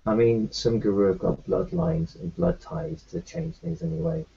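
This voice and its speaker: Male roleplayer, gravelly and lively in pitch, halting and reassuring; muffled home recording. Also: a little hiss or hum. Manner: halting, reassuring, many restarts. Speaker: tabletop roleplayer. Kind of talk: in-character roleplay chat.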